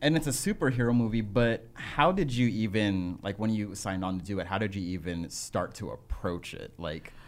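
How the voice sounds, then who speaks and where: A man's voice: lowish voice, normal speed, few pauses. Joking man co-host. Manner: joking, casual, trails off. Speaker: podcast co-host. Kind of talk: casual interview.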